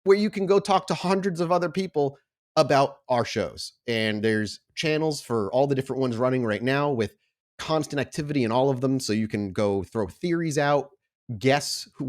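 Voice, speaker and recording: A man's voice: medium pitch, expressive, moderate pace. A man hosting a tabletop role-playing podcast as storyteller, speaking in an informal, chatty way. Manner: informal, chatty, swears casually. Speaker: podcast host and game storyteller. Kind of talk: casual podcast intro, then improvised tabletop game narration.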